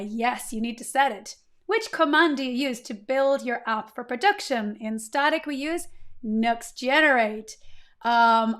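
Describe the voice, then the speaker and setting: Upbeat woman hosting a live coding stream. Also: high voice. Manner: chatty, upbeat, greets chat viewers. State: recovering voice, slightly strained. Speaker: livestream coding host.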